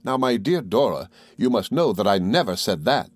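A man voicing an earnest, loving young husband in an audiobook, reasoning gently and formally. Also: fairly low pitch, sing-song, normal speed, dry recording. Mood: grave, reasoning. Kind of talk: audiobook character dialogue.

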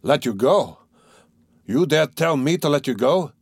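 A man reading an audiobook as a hot-tempered, bossy young man who scolds loudly and fires off demands. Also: medium pitch, animated, no room sound, studio quality, natural voice. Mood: indignant, threatening. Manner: loud scolding, rapid-fire demands. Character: hot-tempered, blustering young male friend, bossy and loud. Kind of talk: dramatised audiobook dialogue.

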